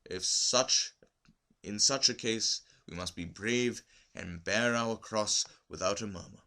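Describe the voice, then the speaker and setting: A man voicing a grave, compassionate bearded timber merchant in a solemn, measured way for a story reading. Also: fairly low pitch, vocal fry, sharp tone, exaggerated voice.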